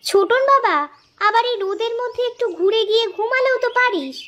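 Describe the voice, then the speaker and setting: A woman's voice: very high-pitched, a little fast, a bit bright. A woman playing a doting, worrying village mother and wife in a cartoon, fretful, pleading and coaxing. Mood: mild scolding. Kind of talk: cartoon story dialogue.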